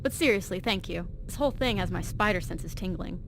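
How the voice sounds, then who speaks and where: A woman's voice: fairly low pitch, a little fast, noisy background, lightly acted. A young woman playing an anxious, driven teenage vault girl in video game dialogue, chatty, earnest and slangy. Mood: playful, determined.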